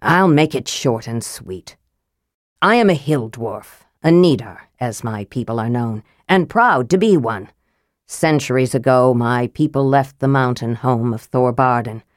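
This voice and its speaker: A woman voicing a proud, angry old male dwarf in an audiobook, gruff and fierce, telling his story bluntly. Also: lively intonation, a little slow, no room sound, studio quality. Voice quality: gruff, deep. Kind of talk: audiobook character voice.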